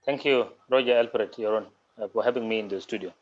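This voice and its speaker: A South Sudanese man speaks in a low voice over a dull, lo-fi video call. He answers as an official would, formally and deliberately, with legalistic phrasing. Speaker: human rights commissioner, interview guest.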